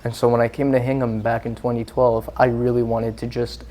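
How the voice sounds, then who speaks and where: A man's voice: medium pitch, level tone, fairly quick, dark tone. Earnest rambling young man, interview guest. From Singapore. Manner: earnest, rambling, with many fillers. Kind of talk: casual interview chat.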